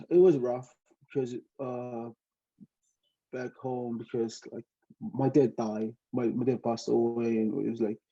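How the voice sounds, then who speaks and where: Hesitant, dark-voiced Tongan man. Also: medium pitch, lo-fi sound. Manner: hesitant, many fillers, laughs often. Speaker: podcast guest, student pilot. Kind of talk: casual interview storytelling.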